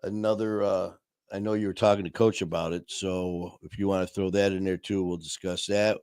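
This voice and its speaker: A man hosting a casual live stream, talking warmly to viewers in a rambling way with many fillers and restarts. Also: sits low, flat delivery, moderate pace, clear, resonant tone, dry recording, studio quality. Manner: friendly and upbeat, addressing viewers. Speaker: livestream host.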